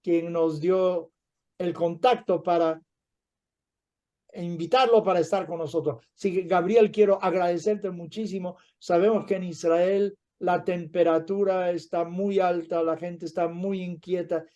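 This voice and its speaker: A man's voice: high voice, fairly even intonation, unhurried, mellow. A man, a rabbi, hosting the event and earnestly introducing and thanking the guest speaker.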